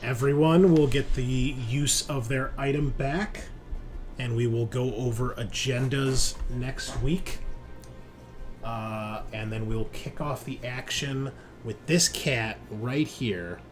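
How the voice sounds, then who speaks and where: A man hosting and narrating a tabletop horror roleplaying session as game master, in a halting, conversational way. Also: mid-range voice, fairly even intonation. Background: background music.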